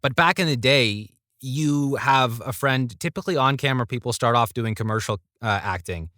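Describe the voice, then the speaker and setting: A man's voice: mid-range voice, normal speed, sharp tone, dry recording, studio quality. A man in his thirties speaking as an interview guest in a casual, reflective, conversational way. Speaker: podcast guest, voice actor.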